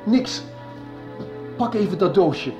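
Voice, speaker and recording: A man's voice: high-pitched, lively intonation, takes it slowly, music in the background. A man acting a busy, evasive middle-aged father in a Dutch radio soap. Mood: strained, urgent.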